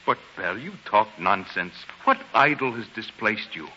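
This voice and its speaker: A man's voice: on the low side, lively intonation, normal speed, pushed and bright. An actor playing a grasping, defensive man in his prime in a 1940s radio drama, insistent and argumentative. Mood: dismissive. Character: grasping, defensive young suitor, prime of life. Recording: dull hissy 1940s radio recording.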